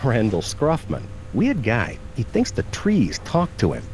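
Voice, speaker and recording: An actor playing a gruff, blunt backwoods factory worker with folksy talk in a video game. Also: male voice, medium pitch, huge pitch swings, measured pace, darkish tone, slight background noise, poor audio. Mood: dismissive. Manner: blunt, folksy rural grammar. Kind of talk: cartoonish video game dialogue.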